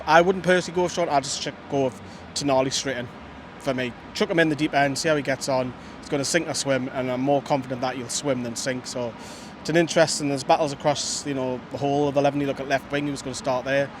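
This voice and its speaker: A man giving measured, opinionated football views outdoors. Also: mid-range voice, steady tone. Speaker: fan pundit. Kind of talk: casual football chat. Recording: outdoor street noise.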